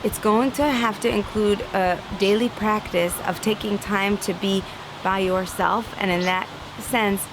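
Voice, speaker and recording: A woman's voice: medium pitch, a little slow, over music, low-quality recording. Earnest woman giving a spiritual talk. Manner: earnest, animated, acts out inner voices; laughs lightly now and then. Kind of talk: inspirational religious talk.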